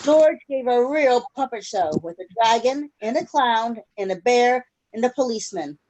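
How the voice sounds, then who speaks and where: A woman with a fairly high voice reads a children's storybook aloud. Her delivery is expressive, shaped for young listeners. The sound is a video call recording with faint noise. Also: moderate pace, poor audio. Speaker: children's story-time reader. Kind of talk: reading a picture book aloud to children.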